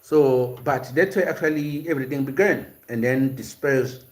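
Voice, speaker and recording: A man's voice: medium pitch, normal speed, a little mellow. A man hosting a live-streamed language and culture lesson, explaining in a hesitant way with frequent fillers and repeated words, and answering viewers' comments. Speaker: host of a language and culture series.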